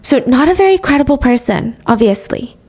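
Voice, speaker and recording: Animated woman telling a true crime story. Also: sits high, brisk. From grew up speaking English, also Korean. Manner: sarcastic asides, animated retelling. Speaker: podcast host.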